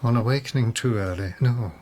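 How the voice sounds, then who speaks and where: A man's voice: mid-range voice, fairly quick, darkish tone, slight background noise, natural voice. An actor in a radio drama playing a mad, doomed nobleman in a rambling, wry, reflective monologue. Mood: wry, resigned. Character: mad, doomed nobleman musing on his death.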